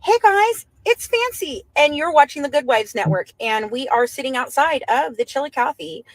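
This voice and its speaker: A woman's voice: sits high, expressive, normal speed, no room sound, poor audio. A woman hosting an online update for her followers, speaking in an emphatic, dramatic way. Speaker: online show host. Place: outdoors by a courthouse.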